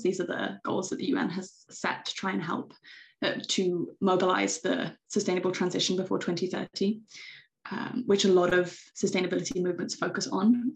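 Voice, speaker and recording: A woman's voice: mid-range voice, vocal fry, rough recording. A young British woman with a British accent, answering podcast interview questions in an enthusiastic, chatty way with frequent ums. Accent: British English. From Britain. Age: young adult. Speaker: secretary general of a youth organization.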